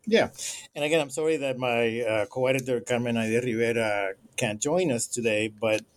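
A middle-aged man, a scholar, answering interview questions in a measured, halting way with frequent filler sounds. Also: medium pitch. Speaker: guest scholar, co-editor.

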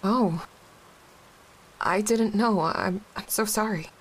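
A woman acting a grieving, guilt-ridden young widowed mother in an audio drama, halting and emotionally raw. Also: medium pitch, poor audio. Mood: hesitant, subdued. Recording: clear background hiss.

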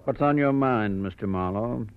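A man's voice: medium pitch, moderate pace, clean tone, close to an everyday voice. A man acting a short, fat, suspicious swindler in a 1940s radio drama. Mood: guarded. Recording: thin phone-like vintage radio recording.

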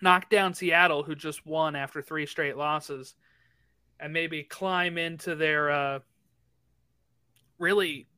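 A man's voice: on the high side, fairly even intonation, takes it slowly, pauses often, pushed and bright, clean tone, no room sound. A man co-hosting a soccer podcast, chatting casually and rattling off the weekend's match schedule. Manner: rattles off fixture lists, chatty. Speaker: podcast co-host. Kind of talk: casual sports chat.

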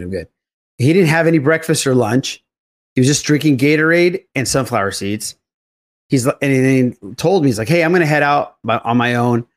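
A man hosting a podcast in casual, chummy banter with his co-hosts. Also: medium pitch. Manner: animated, chummy, addresses co-hosts as buddy. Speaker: podcast host.

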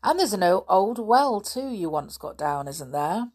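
A woman reader puts on a genial, curious gentleman from a children's storybook. He chats sociably and probes with questions, his pitch bouncing up and down. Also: very animated, unhurried, husky. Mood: chatty, admiring. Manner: sociable, probing, chatty. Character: genial, inquisitive grown man, jolly company. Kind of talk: children's storybook character reading.

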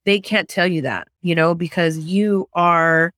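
A woman's voice: fairly low pitch, steady tone, a little slow, fairly bright tone, dry recording, clean studio sound. A woman from San Francisco, a podcast guest, earnestly telling her own story with lots of casual fillers. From San Francisco, United States. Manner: earnest storytelling, many "you know" and "like" fillers. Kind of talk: casual interview chat.

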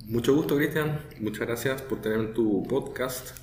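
A man's voice: mid-range voice, normal speed, darkish tone, roomy sound, slight background noise. A man in his thirties from Chile, with a Chilean Spanish accent, talking as a guest in an interview, with many hesitations and repeated words. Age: 30s. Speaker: guest brewmaster. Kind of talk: relaxed conversational interview.

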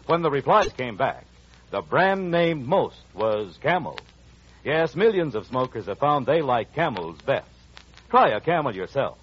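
A man's voice: medium pitch, sing-song, a little slow, a little hiss or hum. A man announcing formally and emphatically in polished 1940s radio style. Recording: muffled 1940s radio broadcast. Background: music.

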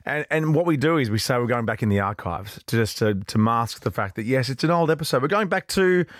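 A man's voice: mid-range voice, lively intonation, fairly quick, dry recording. A man hosting a podcast, chatty and self-deprecating, laughing often as he talks with a guest over a video call. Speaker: podcast host. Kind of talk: casual interview chat. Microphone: remote video call.

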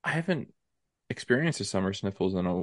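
A young man with a stuffy, congested nose co-hosting a casual podcast chat, halting with many ums and restarts. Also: low-pitched, sing-song, no room sound, clean studio sound. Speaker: podcast co-host.

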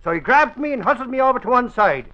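An actor playing an excitable, self-important Irish reporter in a 1940s radio drama, blustering in folksy, ungrammatical speech. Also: male voice, very high voice, quick pace. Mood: blustering, aggrieved. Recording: dull old radio broadcast recording.

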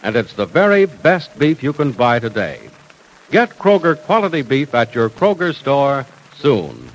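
An old-time radio announcer, a man delivering a hearty, folksy sales pitch. His voice rises and falls in big swings as he sells and introduces the show. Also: wildly swooping pitch, a little slow, slight background noise, poor audio.

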